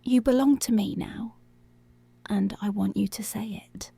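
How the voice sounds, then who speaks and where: Woman acting as seductive commanding policewoman, breathy half-whispered ASMR delivery, slow and deliberate, soft gravelly fry, very close dry sound. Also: medium pitch, no room sound. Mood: commanding, possessive. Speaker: ASMR voice actor. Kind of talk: ASMR roleplay, intimate scripted monologue.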